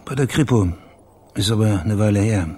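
A man's voice: lowish voice, moderate pace, warm tone, a little hiss or hum, close to an everyday voice. An actor playing a laconic, matter-of-fact male private detective in a radio drama, persistent in his questioning. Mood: insistent.

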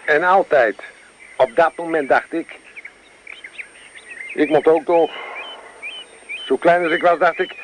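A man's voice: fairly high pitch, slow pace, pauses often. Guy as an uneasy, thoughtful man in a radio play, hissy old broadcast. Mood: uneasy, reflective. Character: nervous man in a camper, obsessed with a horror tape.

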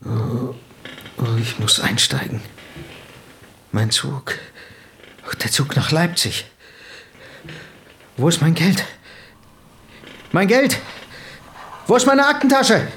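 A nervous fugitive businessman in a radio drama. His fairly high voice moves restlessly up and down, urgent and cautious, in a long hall reverb. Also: expressive, takes it slowly, long pauses, a bit bright, exaggerated voice. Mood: panicked. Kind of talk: German radio drama acting. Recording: long hall reverb, faint ambient noise.

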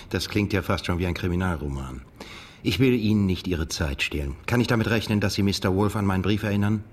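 An actor playing a brooding, restrained émigré journalist who narrates and asks questions in a German radio drama. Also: male voice, low voice, fairly quick, darkish tone. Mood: polite, puzzled.

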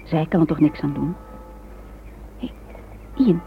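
An actress playing a caring but anxious, firm wife in a radio drama, in an expressive manner. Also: female voice, sits low, takes it slowly. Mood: concerned. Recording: old radio recording, narrow band, background noise.

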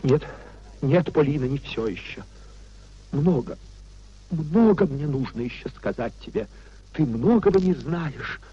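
An actor playing an anguished, idealistic young husband in a 1950s Russian radio play, pleading and declaiming theatrically. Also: male voice, a little high, animated, unhurried, pauses often, husky, highs cut off. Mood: earnest pleading. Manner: theatrical, declamatory, repeating phrases emotionally.

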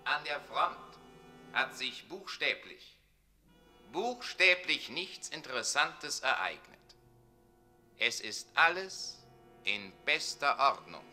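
Shrill, high-voiced male town crier. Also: heavily acted. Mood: menacing, commanding. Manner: declamatory, bureaucratic, loudly proclaiming. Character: officious town crier of a dragon's regime. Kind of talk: German radio play acting. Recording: roomy distant radio play, music behind.

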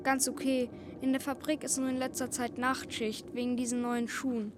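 Timid working kid acted by a woman, radio play: high, hesitant, pleading, noisy street with vehicles behind. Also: little pitch movement, moderate pace, lightly acted. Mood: subdued. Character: timid, pleading poor child factory worker, René. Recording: outdoor noise, vehicles behind.

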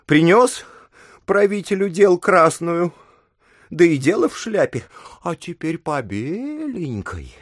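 A man's voice: high voice, very animated, slow, no room sound, studio quality, heavily acted. An actor playing a disgruntled, exasperated male petitioner grumbling about officials in an audio drama. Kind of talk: audio drama acting of classic literature.

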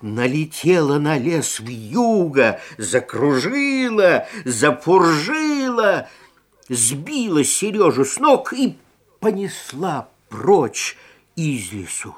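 A man narrating a children's fairy tale in a theatrical, expressive way, stretching key words for emphasis. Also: on the high side. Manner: theatrical, deliberate, drawn-out emphasis. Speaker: storyteller.

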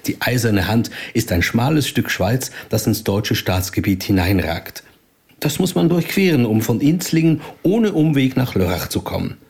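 An actor playing an aggrieved, guarded community rabbi in a German audio drama, speaking in a measured, earnest, explaining way. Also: male voice, medium pitch, lively intonation, vocal fry, darkish tone, husky. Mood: reminiscing.

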